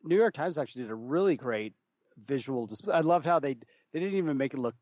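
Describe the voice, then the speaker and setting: A man talking casually as a podcast host, thinking aloud in a halting, conversational way. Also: mid-range voice, animated, darkish tone, no room sound. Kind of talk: casual chat. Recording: slightly dull, dry recording.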